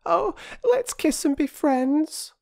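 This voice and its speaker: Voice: high-pitched, big pitch swings, slow pace, gravelly, pitched above the performer's own voice, full character voice. A narrator voicing an earnest young schoolgirl from a children's storybook, imploring and well-spoken. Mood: apologetic, pleading. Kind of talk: children's storybook character reading.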